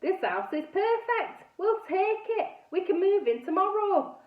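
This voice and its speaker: A woman's voice: very high voice, sing-song, unhurried, recorded from a distance, voice pushed up, full character voice. A woman voicing a delighted, gushing woman who is eager to buy a house. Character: smug, delighted grown woman house-hunter. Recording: tinny, echoey phone-like recording.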